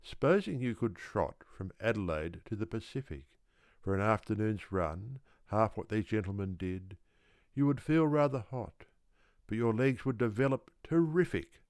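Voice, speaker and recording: A man reading a children's story aloud as an audiobook narrator, with deliberate, rhythmic, theatrical phrasing. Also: on the low side, animated, darkish tone, dry recording, clean studio sound. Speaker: audiobook reader.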